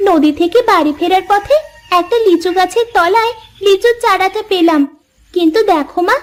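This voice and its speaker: Very high-pitched wistful little girl. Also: moderate pace. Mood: curious. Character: young village girl, wistful, curious, sweet. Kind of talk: cartoon fairy tale voice acting.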